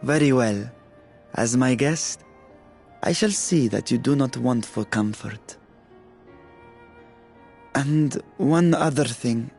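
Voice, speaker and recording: A man's voice: medium pitch, slow pace, gravelly, pauses often, a little mellow. A man playing a courteous, earnest nobleman in a game cutscene, speaking formally and politely. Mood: gracious. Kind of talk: video game cutscene acting. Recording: music behind.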